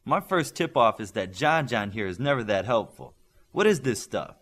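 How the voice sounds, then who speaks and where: A man's voice: mid-range voice, sing-song, moderate pace, a bit bright. A man voicing a curious, friendly teenage boy superhero in a storybook reading, informal and inquisitive.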